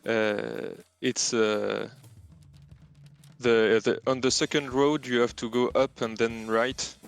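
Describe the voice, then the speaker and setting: A man with a French accent, a native French speaker, answering casual interview questions haltingly as he searches for words. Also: mid-range voice, fairly even intonation, creaky, clear, resonant tone, faint music behind. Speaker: interview guest, game programmer.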